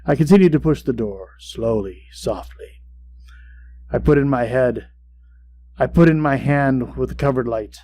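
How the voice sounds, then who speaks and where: A man playing an obsessive, boastful mad murderer who narrates a gothic horror tale in a dramatic, deliberate way. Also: medium pitch, natural voice. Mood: sly, stealthy. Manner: dramatic, deliberate, swinging between hush and outbursts. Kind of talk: theatrical gothic horror storytelling. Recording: clear background hum and noise.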